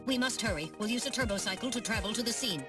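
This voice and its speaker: Woman playing a polite little robot assistant, high-pitched. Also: expressive, fast talker, close to an everyday voice. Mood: dutiful, formal. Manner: formal, precise, informative diction. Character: small polite robot personal-assistant navigator, dutiful and earnest. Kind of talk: video game voice acting. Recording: distant voice under loud music.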